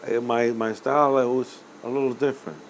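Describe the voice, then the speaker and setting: An older man from Cuba, a musician answering interview questions in a rambling, hesitant way with many pauses and self-corrections. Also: mid-range voice, fairly even intonation, a little hiss or hum. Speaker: musician guest.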